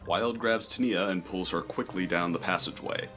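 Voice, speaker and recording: A man's voice: low voice, normal speed, bright, forceful tone, soft background music. A man reading scripted, dramatic third-person story narration for a fantasy audio drama.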